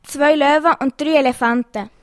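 High voice woman reading riddles, matter of fact, dry close sound. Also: fairly even intonation, normal speed, dry recording. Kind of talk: reading riddles and jokes aloud.

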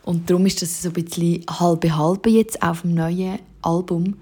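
Swiss German singer, young woman, low mellow husky voice, chatty and loose with fillers. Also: level tone, measured pace. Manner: casual, many fillers and "wie". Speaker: singer-songwriter. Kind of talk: interview answers.

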